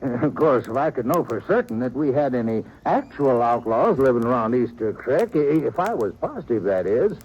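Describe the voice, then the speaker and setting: An actor playing a folksy, evasive small-town sheriff in an old radio western, blustering and hedging with nervous geniality. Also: male voice, mid-range voice, sing-song, normal speed, hardly any pauses, darkish tone, husky. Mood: evasive, defensive. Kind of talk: 1950s radio western acting. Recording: dull, slightly noisy vintage radio recording.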